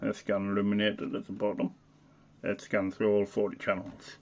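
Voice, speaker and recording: A man giving a casual, unscripted product demonstration as a hobbyist reviewer, halting and thinking aloud as he goes. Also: on the low side, completely flat delivery, vocal fry.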